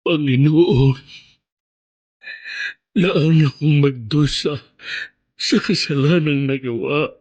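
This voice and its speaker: A man's voice: fairly high pitch, slow, pauses often, a little mellow. An actor playing an anguished penitent man, tearfully beseeching God in a radio drama prayer. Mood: anguished, pleading. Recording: faint music behind the voice.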